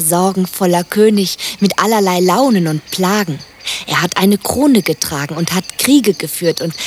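A woman's voice: medium pitch, a little hiss or hum, natural voice. A woman in a German radio play acting a very young, earnest musician who talks in a naive, matter-of-fact way. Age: young adult. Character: very young female musician, earnest, dreamily devoted to her mentor.

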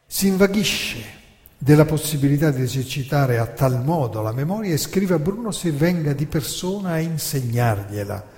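A man delivering theatrical scripted narration in a measured, declamatory storytelling manner. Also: medium pitch, darkish tone. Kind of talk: theatrical scripted narration with dramatic recited quotations. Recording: long hall reverb.

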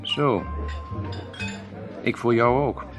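An actor playing a cool, drily superior crime boss in a radio drama, speaking in formal, measured wording. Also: male voice, medium pitch, fairly even intonation, music in the background. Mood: dry, amused.

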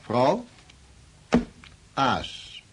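An actor playing a formal, courteous man dealing and playing high-stakes cards in a radio drama. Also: huge pitch swings, extremely slow, exaggerated voice. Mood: formal, controlled. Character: formal, unflappable male card dealer and opponent. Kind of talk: Dutch radio drama acting. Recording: faintly noisy old radio play recording.

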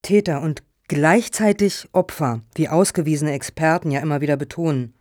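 A woman's voice: low voice, normal speed, darkish tone, no room sound, clean studio sound, close to an everyday voice. A woman playing a composed foundation hostess in a satirical radio play, formal and politely sardonic. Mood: polite, needling.

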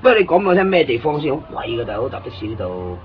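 An actor playing a talkative, excitable young Hong Kong man living in Tokyo who keeps joking and offering to guide a visitor, speaking with a Hong Kong Cantonese accent in a 1990s radio play. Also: mid-range voice, very fast, slight background noise, close to an everyday voice. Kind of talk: 1990s radio drama acting. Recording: dull lo-fi 1990s radio recording.

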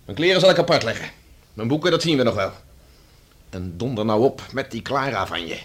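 An actor playing a stern, contemptuous husband in a Dutch radio drama, speaking with biting authority. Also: male voice, medium pitch, animated, brisk, slightly rough. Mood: stern anger.

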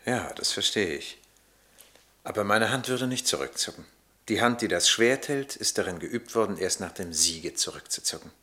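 A man playing a proud, stoic Roman army captain in a radio drama, speaking in a firm, grave, measured way. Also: fairly low pitch, animated, sharp tone. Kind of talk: German radio play acting.

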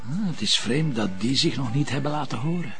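A man's voice: mid-range voice, expressive, brisk, over loud music, close to an everyday voice. An actor playing a world-weary, blunt male detective in a TV crime drama, wry and colloquial. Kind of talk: naturalistic TV crime drama acting.

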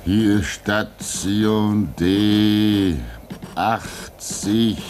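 Slow, low-voiced lonely devout man. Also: steady tone, darkish tone, slight background noise. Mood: anguished longing. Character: lonely, devout radio operator Wladimir at an Arctic station. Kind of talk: radio play acting.

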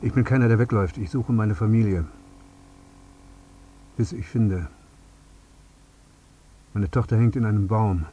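An actor playing a numb, bereaved father and husband in a radio drama monologue, speaking in stark short sentences with a husky voice. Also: fairly low pitch, a little slow, pauses often, warm tone, slight background noise, close to an everyday voice. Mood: stubborn resolve. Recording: slightly dull, muffled sound.

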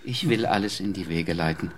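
An actor playing a devoted caretaker of an old scholar in a period radio drama, speaking formally and courteously. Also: male voice, low-pitched, fairly quick, slight background noise, close to an everyday voice. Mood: obliging.

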